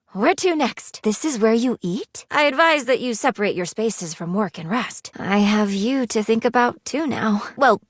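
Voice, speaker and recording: Prim, formal young game heroine, dry studio sound. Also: female voice, medium pitch, no room sound. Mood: polite, curious. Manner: formal, precise wording with few contractions. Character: prim, earnest, thrifty young woman, living money personified, game dating character. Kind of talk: video game character dialogue.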